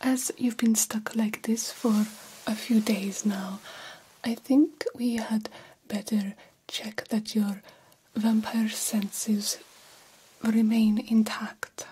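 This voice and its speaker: A woman playing an attentive vampire doctor in an ASMR roleplay, whispering softly and soothingly with a breathy voice. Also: a little high, level tone, heavily acted, low-quality recording. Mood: calm, clinical. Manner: hushed, unhurried, soothing bedside talk. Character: female vampire doctor, attentive, calmly examining a patient.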